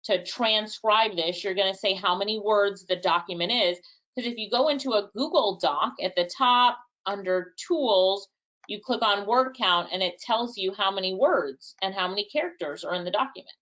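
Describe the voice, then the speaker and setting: A woman's voice: medium pitch, normal speed, a bit bright, highs cut off. A woman with a Jamaican accent presenting a live online workshop, casually answering chat questions and thinking aloud. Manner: casual, chatty, thinks aloud, laughs lightly. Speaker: webinar presenter.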